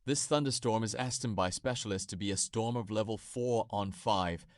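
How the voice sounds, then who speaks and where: Male announcer, scripted emergency alert, formal, mid-pitched, close dry recording. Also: moderate pace, dry recording.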